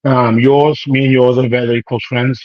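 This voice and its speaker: Slangy British-raised bodybuilder, dry lo-fi. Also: male voice, medium pitch, flat delivery, fairly quick, no room sound. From United Kingdom. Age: 30s. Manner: rambling, slangy, acts out quoted dialogue. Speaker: professional bodybuilder guest. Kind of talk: casual interview chat.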